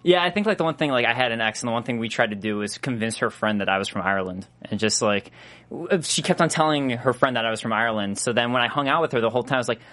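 Fast-talking male interview host. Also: mid-range voice, bright, forceful tone. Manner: quick, chatty, agreeable with frequent "yeah" and "right". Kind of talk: casual interview chat. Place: studio.

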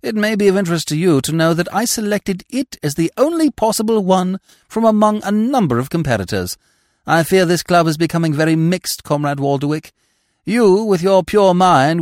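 A man voicing a suave, unflappable gentleman in an audiobook, blandly cordial and grandiose in his florid speech. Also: on the high side, lively intonation, a little fast, no echo, studio quality, voice pushed up, natural voice. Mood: serene, amused. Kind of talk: audiobook character voice.

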